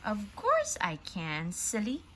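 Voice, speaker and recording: A woman voicing a naughty, boastful, excitable little puppet boy in a storybook reading. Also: medium pitch, slow pace, slight background noise, full character voice. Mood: cheeky, boastful.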